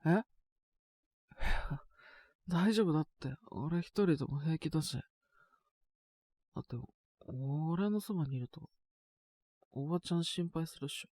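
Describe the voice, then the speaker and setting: A man playing a sick, stubborn but shy teenage boy in an intimate Japanese audio drama, speaking in a halting, casual, congested mumble. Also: fairly high pitch, darkish tone, no room sound. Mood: bashful, reassuring. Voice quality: congested cold-sick voice with coughs.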